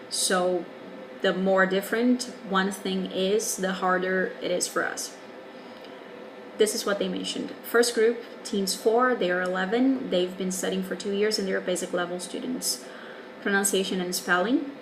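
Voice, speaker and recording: Brazilian accent woman, 20s english teacher, casual wry vlog reply, noisy background hiss. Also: mid-range voice. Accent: Brazilian Portuguese. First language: Portuguese. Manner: candid and wry, explaining patiently. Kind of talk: casual video reply to a viewer.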